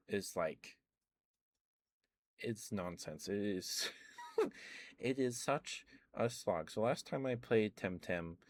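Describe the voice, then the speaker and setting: A man rambling casually about video games in a soft-spoken ASMR stream, full of ums and uhs. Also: lowish voice.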